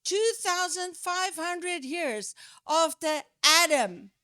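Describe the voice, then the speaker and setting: A woman with a South African accent, preaching a sermon in an animated, emphatic way with drawn-out stresses. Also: very high-pitched, no echo, clean studio sound. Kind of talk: sermon teaching.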